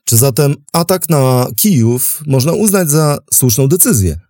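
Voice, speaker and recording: A man hosting and narrating a history programme from a script, in a measured, articulate, formal manner. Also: mid-range voice, lively intonation, dark tone, no room sound, studio quality. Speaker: podcast host and narrator.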